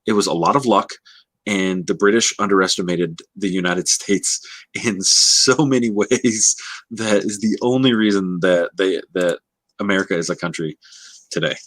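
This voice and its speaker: A man co-hosting a casual book discussion on a livestream, thinking aloud in a halting way. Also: low voice, fairly bright tone, no echo. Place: indoors, livestream call.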